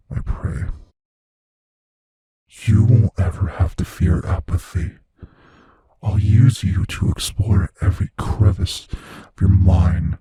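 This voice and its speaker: Man voicing a husky, low, ancient monster. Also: no room sound, full character voice. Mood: menacing, savoring. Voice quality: hushed, husky, breathy low voice. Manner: slow, menacing, philosophical, intimately taunting. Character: ancient immortal male monster, sadistic, world-weary, savoring fear. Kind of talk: ASMR horror audio roleplay. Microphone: close studio mic, dry.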